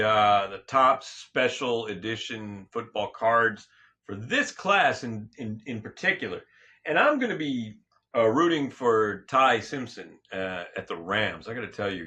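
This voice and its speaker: A man hosting a casual sports talk show, slightly hoarse, rambling and joking with frequent restarts and self-corrections. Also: lowish voice, pushed and bright. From California. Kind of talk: casual solo sports and politics chat.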